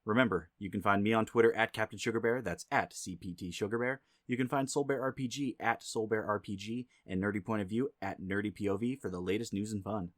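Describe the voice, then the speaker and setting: A man's voice: lowish voice, steady tone, fast talker, few pauses, fairly bright tone, dry recording. A man reading a playful, conversational promo as a podcast host, close to the microphone. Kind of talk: scripted promo read, jokey. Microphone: close dry microphone.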